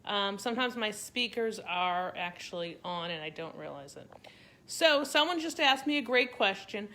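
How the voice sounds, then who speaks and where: A woman's voice: mid-range voice, animated, normal speed, sharp tone. A middle-aged woman with a Boston accent, chatting casually on a home cooking live stream and talking viewers through a recipe. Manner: chatty and rambling, talks to viewers directly. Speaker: cooking channel host. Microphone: live-stream device mic.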